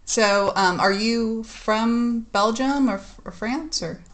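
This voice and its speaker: A woman's voice: on the high side, slow pace. A woman hosting a podcast interview, chatty and laughing often, with many fillers. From United States. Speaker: podcast host. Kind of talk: casual interview chat.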